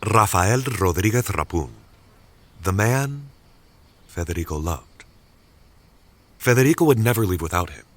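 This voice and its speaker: A man's voice: on the low side, lively intonation, unhurried, long pauses. A man reading scripted, dramatic story narration as the narrator of an audio tale.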